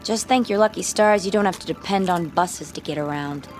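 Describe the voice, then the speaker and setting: A woman's voice: on the low side, a little fast, natural voice. A young woman playing a frank, curious schoolgirl in a radio drama, casual and wry in manner. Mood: wry, bemused. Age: young adult. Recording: music bed and vehicle sounds behind.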